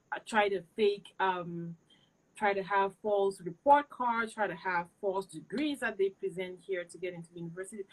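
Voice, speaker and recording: A woman's voice: medium pitch, husky, rough recording. A young woman from Cameroon whose first language is not English, giving earnest and direct advice as an interview guest. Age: young adult. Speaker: interview guest, PhD student.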